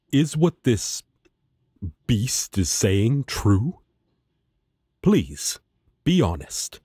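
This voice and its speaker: Man acting a very slow, solemn guardian angel. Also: on the low side, mellow, breathy edge, no room sound, studio quality, exaggerated voice. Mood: solemn, probing. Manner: formal, solemn. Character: earnest, righteous, devoted male guardian angel. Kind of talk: audio roleplay acting.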